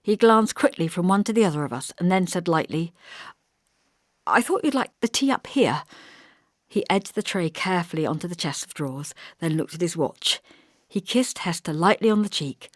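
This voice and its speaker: A woman's voice: fairly low pitch, fairly quick, no echo, clean studio sound. A woman reading literary narration expressively as an audiobook narrator.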